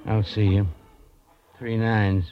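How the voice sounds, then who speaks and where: An actor playing a genial, well-to-do gentleman in an old radio drama, with a mild, chatty delivery. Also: lowish voice, little pitch movement, takes it slowly. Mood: genial, wistful. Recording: muffled, noisy old radio recording.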